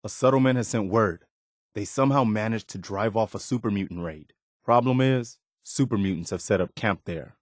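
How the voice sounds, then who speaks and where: Low-voiced earnest militia officer, played by a man. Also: normal speed, no echo, studio quality, lightly acted. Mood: earnest, steady. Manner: sincere, plainspoken, dutiful. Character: earnest, steadfast militia officer, loyal adult male companion. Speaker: video game voice actor. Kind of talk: acted video game dialogue.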